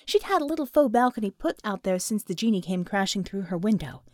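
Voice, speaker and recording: A woman reading scripted fiction as an audiobook narrator, with expressive, dramatic storytelling. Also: mid-range voice, a little fast, no room sound.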